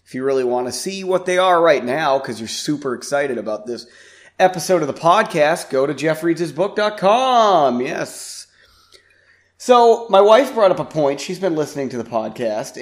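A man, raspy and congested from a sinus infection, hosting a podcast in a rambling, self-deprecating way. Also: fairly high pitch, expressive, normal speed. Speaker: podcast host. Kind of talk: casual podcast chat.

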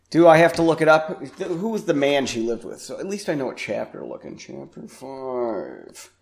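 A man, raspy and congested from a sinus infection, hosting a podcast in a rambling, self-deprecating way. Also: medium pitch. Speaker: podcast host. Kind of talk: casual podcast chat.

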